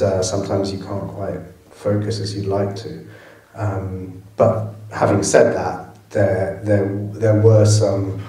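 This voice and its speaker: Man, film director, low voice, dark tone, audience Q&A, echoey hall, distant mic. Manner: thoughtful, with frequent restarts. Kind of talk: post-screening Q&A answers. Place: cinema auditorium.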